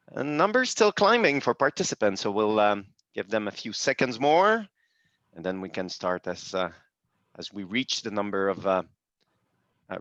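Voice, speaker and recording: A French-speaking man from Quebec hosting a webinar in English with a Quebec French accent, pausing often and restarting his sentences. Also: on the low side, fairly bright tone, no echo. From Quebec, Canada. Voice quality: frequent hesitations and restarts in a second language. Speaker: webinar host and presenter. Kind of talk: hesitant presentation. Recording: dull dry video call audio.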